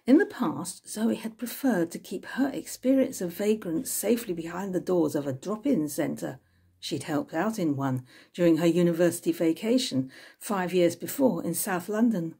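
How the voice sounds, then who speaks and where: Female narrator, 60s, low-pitched, slightly husky, expressive storybook reading. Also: moderate pace. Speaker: author reading from own novel. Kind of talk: scripted narration, audiobook-style reading. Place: small room at home with bookshelves, indoors.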